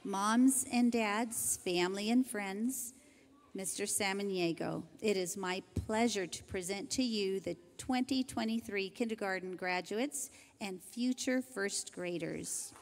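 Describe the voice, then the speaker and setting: An older woman teacher reading graduation introductions into a handheld microphone, in a gentle, measured way. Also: mid-range voice, a little hiss or hum. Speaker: kindergarten teacher. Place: large church sanctuary. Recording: hall reverb from a church PA.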